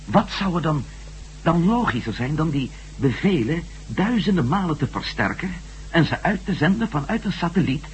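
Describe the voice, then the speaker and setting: An actor playing a bold, earnest ex-astronaut adventurer in a Dutch science-fiction radio drama. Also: male voice, fairly high pitch, big pitch swings, normal speed. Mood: urgent, grave. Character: bold male ex-astronaut reporter turned adventurer. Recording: noisy, humming old radio recording.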